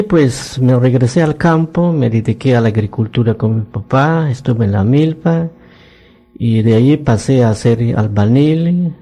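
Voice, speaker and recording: A man in his nineties from Yucatán whose first language is Maya, speaking Spanish with a Yucatec accent as he slowly recounts his life story in a radio studio interview. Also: medium pitch, dark tone. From Yucatán, Mexico. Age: 90s.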